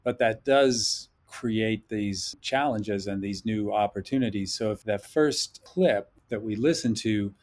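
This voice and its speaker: A man speaks as a podcast guest in a thoughtful, measured way, often hedging as he reasons aloud. His voice sits a little low. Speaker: guest, instructor. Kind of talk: podcast interview talk.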